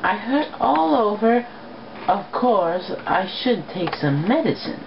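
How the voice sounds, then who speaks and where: A woman's voice: medium pitch, big pitch swings, takes it slowly, vocal fry, lo-fi sound, noisy background, full character voice. A woman voicing a brash, blunt young female cartoon pegasus who sounds weary and defiant, in an amateur story reading.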